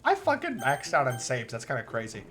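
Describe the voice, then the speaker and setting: A woman streamer chatting casually while playing a visual novel, rambling and swearing, with a soft, relaxing voice. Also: extremely low pitch, huge pitch swings, brisk, soft background music. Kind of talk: casual livestream commentary over a visual novel.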